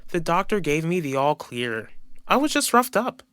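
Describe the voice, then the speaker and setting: A man playing an easygoing, flippant man who shrugs off danger in an animated soap opera. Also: on the high side, moderate pace, smooth, dry recording, studio quality. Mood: breezy, reassuring. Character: easygoing joking man, recovering from an attack.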